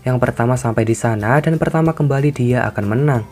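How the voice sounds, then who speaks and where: A man retells a cartoon plot in a casual, scripted narration at an ordinary middle pitch. He talks easily and informally, tossing friendly asides to his viewers. Soft music plays quietly beneath his voice. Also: quick pace. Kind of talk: casual scripted story recap.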